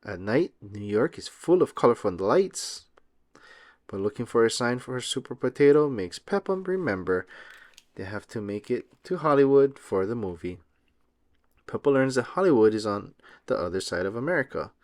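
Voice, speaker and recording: A man reading a children's picture book aloud as an expressive storyteller, drawing out words for effect. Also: mid-range voice, normal speed. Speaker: read-aloud host.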